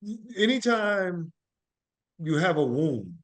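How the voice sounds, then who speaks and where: A man speaking as an interview guest, telling stories conversationally in deliberate, emphatic short phrases. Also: sits high, very animated. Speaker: podcast guest, actor and playwright.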